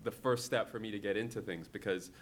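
A comics creator in his thirties gives a talk to an audience in a somewhat low voice. He is from Hong Kong. He often pauses with fillers and restarts his sentences. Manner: hesitant, many fillers and restarts.

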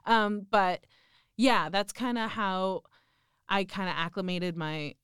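A woman's voice: mid-range voice, takes it slowly, a bit bright, smooth, dry recording, studio quality. Young Mexican-accented woman, rambling chat. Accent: Mexican Spanish. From central Mexico. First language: Spanish. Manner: rambling, lots of "like" and "um". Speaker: interviewee. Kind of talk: casual conversation.

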